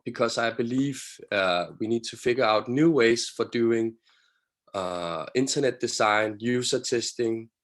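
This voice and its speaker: A man from Denmark introducing himself on a panel, thoughtful and hesitant with many fillers. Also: on the low side. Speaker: team member, learning manager.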